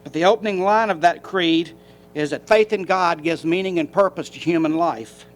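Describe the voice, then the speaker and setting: A man with a Tennessee accent, a county commission candidate speaking off the cuff in a folksy, halting way. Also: on the high side. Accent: Southern US, Tennessee. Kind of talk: off-the-cuff campaign remarks. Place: county government meeting room.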